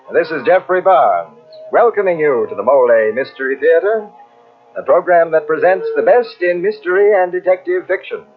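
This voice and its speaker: A man hosting a 1940s radio mystery show with formal scripted, measured, theatrical delivery. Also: fairly high pitch. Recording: tinny old radio recording with music.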